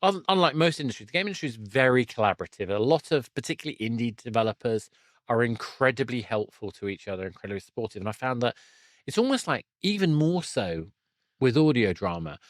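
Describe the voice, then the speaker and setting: A man hosting a conversational podcast interview, talking in a halting, wordy, enthusiastic way. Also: mid-range voice, lively intonation, dry recording. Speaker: podcast host.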